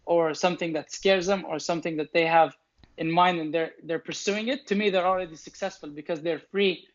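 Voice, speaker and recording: A man's voice: fairly high pitch, fairly even intonation, fairly quick, lo-fi sound. A young man whose first language is Arabic, answering interview questions thoughtfully, often restarting and repeating words as he explains. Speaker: show founder and host, interviewed as guest.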